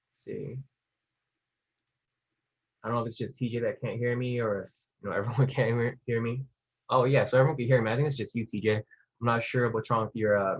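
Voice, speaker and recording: A man with a husky, slightly rough voice at a medium pitch leads an online training session. He explains in an informal, slangy way, as if chatting with the group. The sound is a dull, lo-fi webinar recording. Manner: informal, slangy, conversational, checks in with listeners. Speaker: trading instructor hosting a live webinar. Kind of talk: casual explanatory webinar teaching.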